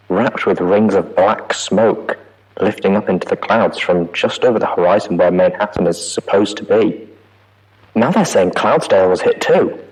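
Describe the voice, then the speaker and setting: A man's voice: fairly low pitch, darkish tone, natural voice, poor audio. A man reading as a frightened young filly. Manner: anxious, stammering, pleading. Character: frightened young filly pony writing a diary. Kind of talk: audiobook character reading.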